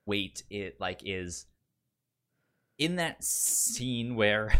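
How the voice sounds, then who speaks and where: Halting, stuttering man, slow-paced. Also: on the low side, fairly bright tone. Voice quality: stutters, repeating sounds and words. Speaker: podcast co-host. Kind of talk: casual film discussion.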